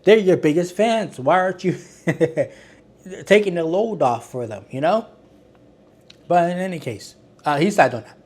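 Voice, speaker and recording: A man hosts a casual online commentary, his voice sitting a little higher than most men's. He rambles and restarts his sentences, sounding exasperated and critical. His delivery has a fairly monotone quality. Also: unhurried, pauses often. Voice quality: self-described monotone. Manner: rambling, with frequent restarts and filler words. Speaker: commentary channel host.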